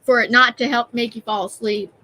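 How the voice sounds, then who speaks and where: A woman's voice: fairly high pitch, fairly even intonation, a little fast, sharp tone, rough recording. A woman hosting a live art stream, chatting casually and reading out and greeting viewers' comments.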